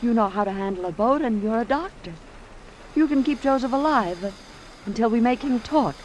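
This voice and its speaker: Actress as scheming femme fatale, noisy old radio. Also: female voice, fairly high pitch, lively intonation, a little fast, natural voice. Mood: coolly persuasive. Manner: sharp, scornful, theatrical. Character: cold, scheming young femme fatale after hidden money. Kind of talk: vintage radio drama acting.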